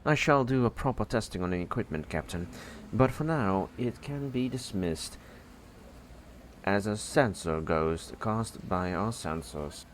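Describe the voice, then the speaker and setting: A man's voice: fairly low pitch, expressive, measured pace, a little hiss or hum. A man voicing a logical alien science officer in an audio drama, formal, precise and unemotional. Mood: detached.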